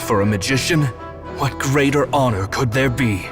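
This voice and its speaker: An actor playing a gentle, solemn magician mentor in a video game. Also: male voice, medium pitch, moderate pace, over music, lightly acted. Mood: wistful, reassuring. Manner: solemn, philosophical.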